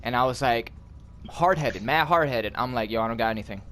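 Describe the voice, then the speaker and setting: A man's voice: mid-range voice, fairly quick, background noise. A man with an American accent casually telling a story to friends, slangy and profane, acting out the dialogue as he goes. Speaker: gaming channel host telling a story.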